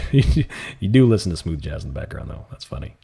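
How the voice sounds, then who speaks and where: Man, calm, soft-spoken, husky, casual live-stream chat. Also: rumbling low, brisk. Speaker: livestream trading host.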